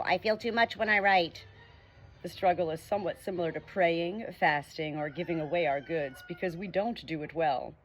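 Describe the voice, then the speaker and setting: A woman reading a nonfiction book chapter aloud as an audiobook, with earnest, expressive emphasis. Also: mid-range voice. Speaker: audiobook reader.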